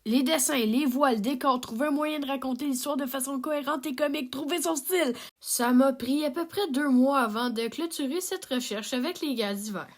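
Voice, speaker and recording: A woman's voice: high voice, moderate pace. A young woman with a Québécois accent, an amateur animator talking casually about her cartoon with many fillers and self-deprecating asides. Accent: Québécois French. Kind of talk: casual self-narration and outro.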